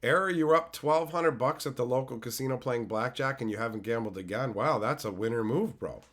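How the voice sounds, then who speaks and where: Male streamer, hoarse with a cold, loose and foul-mouthed, reading chat aloud. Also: mid-range voice, brisk, fairly bright tone. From Canada. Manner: loose, swears freely, answers chat by name. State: hoarse from being sick. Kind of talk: casual live-stream chat.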